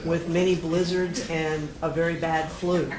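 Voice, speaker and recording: A woman's voice: low-pitched, flat delivery. American woman recounting family history, echoey room. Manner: detailed, pausing to recollect. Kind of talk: casual explanatory family history talk. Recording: echoey room with clear noise.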